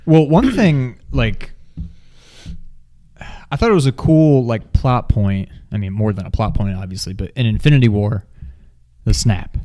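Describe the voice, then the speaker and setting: A man co-hosting a movie review in a casual chat, with informal, halting phrasing. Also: on the low side, lively intonation, dark tone. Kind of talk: casual chat, film discussion.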